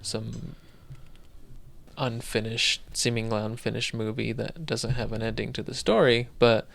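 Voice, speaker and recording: Gravelly man thinking out loud. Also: medium pitch, steady tone, unhurried. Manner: thinks aloud, loose and rambling. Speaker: podcast co-host. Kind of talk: casual podcast chat.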